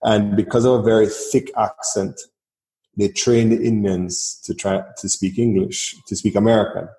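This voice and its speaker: A man's voice: fairly low pitch, steady tone, normal speed, warm tone, poor audio. A Jamaican man, a business guest on a podcast interview, who talks fluently but often repeats words while he finds his point. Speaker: business process outsourcing guest.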